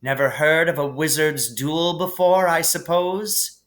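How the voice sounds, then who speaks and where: A man's voice: fairly high pitch, fairly even intonation, a little slow, fairly bright tone, pitched above the performer's own voice. A man voicing an arrogant schoolboy bully with a taunting drawl in a storybook reading.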